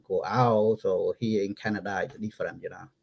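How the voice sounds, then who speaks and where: A middle-aged man speaking English with a French accent, a guest in a casual online chat, halting and restarting his phrases. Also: deep voice, fairly even intonation, creaky, muffled recording. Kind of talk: casual video-call chat.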